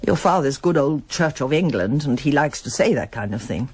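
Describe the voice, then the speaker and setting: A woman's voice: extremely low pitch, lively intonation, a little slow, a little mellow, husky, heavily acted. An actress playing a guarded, hesitant middle-aged English mother in a naturalistic radio drama. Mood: troubled.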